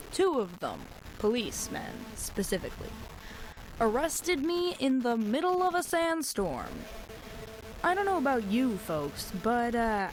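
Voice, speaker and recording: A stranded, wisecracking survivor talks into a radio in a post-apocalyptic audio drama. Her voice is fairly high and her delivery very unhurried, rambling and conversational. Faint noise sits behind her. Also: full character voice, low-quality recording. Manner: wry, joking, rambling, conversational. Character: stranded, handcuffed young woman survivor, wry and rambling. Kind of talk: audio drama monologue sent as a radio broadcast.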